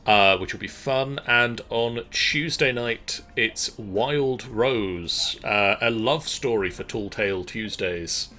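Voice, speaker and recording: A middle-aged man hosting a livestream, giving casual announcements with halting pauses. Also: on the low side, sharp tone. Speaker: streamer and host. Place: small room at home. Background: faint music.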